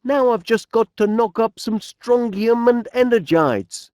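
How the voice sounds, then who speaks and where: A man's voice: very high voice, unhurried, darkish tone, dry recording, studio quality. A man playing a cheery, eccentric middle-aged inventor in a cartoon video game, with a Northern English accent and a dotty, genial, musing manner. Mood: cheerful, chatty.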